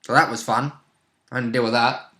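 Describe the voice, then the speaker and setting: A man's voice: medium pitch, fairly even intonation, normal speed, pushed and bright. A man chatting casually as a player about a tabletop role-playing game. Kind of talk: casual chat recapping a game session. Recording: roomy, echoey indoor recording.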